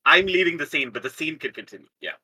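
A woman's voice: low-pitched, expressive, quick pace, bright, forceful tone, breathy edge. A woman playing a tabletop roleplaying game, talking casually and narrating what a character does.

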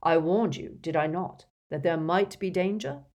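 Lady reader doing an old wizard guy for an audiobook, forceful and insistent, really dramatic. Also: fairly low pitch, moderate pace, lo-fi sound. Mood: stern, commanding. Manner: emphatic, insistent, moaning and groaning under torment. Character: elderly male wizard mentor, authoritative, breaking into frightened pleading.

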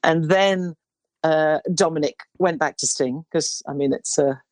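A woman in her sixties, a singer with a low baritone voice, telling stories in a rambling interview chat with many restarts. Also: a little slow, no room sound. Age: 60s. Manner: rambling, warm storytelling with restarts.

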